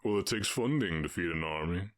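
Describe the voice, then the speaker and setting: A man voicing a calm, commanding orc warchief in an ASMR roleplay, with a husky voice and a measured, condescending, teasing manner. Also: no room sound, clean studio sound. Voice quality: very deep, husky.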